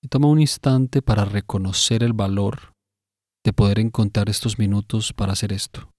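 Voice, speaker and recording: A man narrating a scripted guided meditation in a measured, instructive way, pausing between phrases. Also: on the low side, steady tone, a little mellow, no echo, studio quality.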